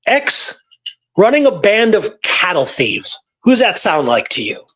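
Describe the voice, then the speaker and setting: A man's voice: high-pitched, wildly swooping pitch, measured pace, a bit bright, slightly rough. A man co-hosting a casual history discussion, informal and keen on his research, sometimes reading from documents. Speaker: co-host and researcher. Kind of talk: casual history discussion with read-out archive passages.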